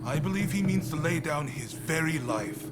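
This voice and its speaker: A man acting a shrewd, grave samurai commander in a video game cutscene, speaking in a measured, resolute way. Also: a little high, expressive, over loud music, natural voice.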